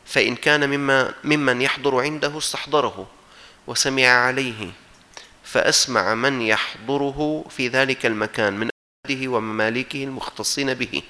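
Bright-voiced Syrian man preaching. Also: medium pitch. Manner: emphatic, exhorting, with rhetorical repetition. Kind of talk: religious sermon to an audience, mixing formal and colloquial speech.

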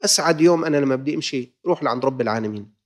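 A man's voice: medium pitch, very fast. A man with a Levantine Arabic accent preaching a sermon with fervent exhortation, firing rhetorical questions at his listeners and moving between formal classical phrasing and everyday dialect.

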